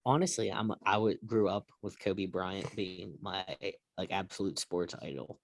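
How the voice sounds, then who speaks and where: A man's voice: sits low, normal speed, no echo. A man moderating a casual sports talk show, introducing topics and chatting with his co-hosts.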